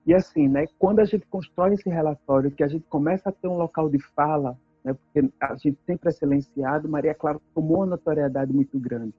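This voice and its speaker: Husky-voiced woman. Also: deep voice, a little fast, few pauses, no echo, narrow, tinny audio, soft background music. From Brazil. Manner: earnest, emphatic storytelling, tag questions. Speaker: activist speaker. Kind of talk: personal testimony talk.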